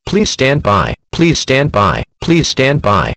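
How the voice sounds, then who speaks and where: A man's voice: mid-range voice, huge pitch swings, slow, background noise. A man reads a scripted official emergency notification as a formal announcer.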